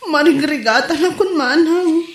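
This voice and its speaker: Lady doing a long-suffering young wife, pleading and fed up, high voice, echoey room. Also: moderate pace. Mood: tearful, pleading. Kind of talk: comedy drama acting.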